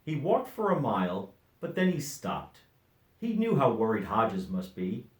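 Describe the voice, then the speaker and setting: A middle-aged man reading a children's storybook aloud as an expressive narrator. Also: lowish voice, a little slow, reverberant, poor audio. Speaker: volunteer story reader.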